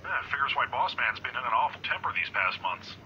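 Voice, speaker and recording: A man performs an old security guard in a visual novel game, kindly, chatty and forever fumbling. His voice is strikingly bright and swings up and down as he hesitates and rambles. The sound is slightly boxed-in and lo-fi. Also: mid-range voice, lively intonation, fairly quick, a little hiss or hum. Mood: gossipy. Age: older. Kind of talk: voice-acted visual novel dialogue.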